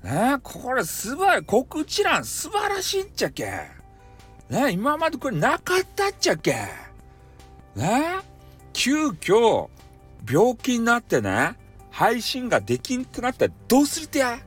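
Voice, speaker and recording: A man's voice: extremely high pitch, huge pitch swings, measured pace, fairly bright tone, breathy edge, soft background music, voice pushed up, exaggerated voice. A man playing a hot-headed, bossy company boss with a Hakata accent, scolding bluntly in a comic radio drama. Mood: furious scolding. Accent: Hakata, Fukuoka.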